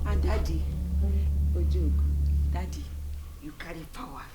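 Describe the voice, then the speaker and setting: An actress with a Nigerian accent playing a clingy, brazen young wife figure, theatrical and insistent in pidgin-flavoured English. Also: female voice, high voice, sing-song, moderate pace. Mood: insistent, coy. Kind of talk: broad comic screen acting. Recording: echoey room with loud music.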